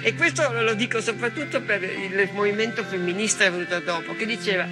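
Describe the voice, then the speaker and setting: A woman's voice: medium pitch, brisk. An older woman, a former partisan, giving candid testimony in a frank, opinionated way. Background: loud music.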